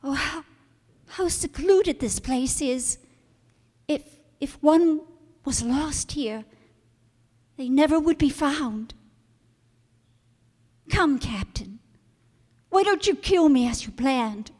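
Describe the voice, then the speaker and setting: An innocent young girl from an old-time radio drama, played by a woman. Her voice is high, and she speaks with earnest, trusting frankness and gentle chiding. A long hall reverb surrounds her. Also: expressive, takes it slowly, long pauses. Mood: tender, calm.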